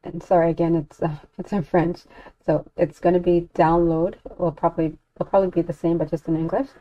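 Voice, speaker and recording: A woman giving a casual software walkthrough as a tutorial host, talking as she works through the steps. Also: on the low side, flat delivery, a little fast. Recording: dull lo-fi home recording.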